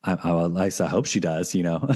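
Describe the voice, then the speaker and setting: Male podcast host, very deep voice, casual, rambling, many restarts. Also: lively intonation, fairly quick, warm tone, husky. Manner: rambling, full of fillers and restarts.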